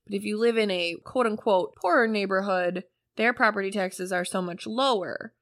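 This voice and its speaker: A woman's voice: medium pitch, moderate pace, a bit bright, dry recording, clean studio sound. A woman hosting a casual podcast chat, emphatic and blunt, with the odd swear word. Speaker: podcast host.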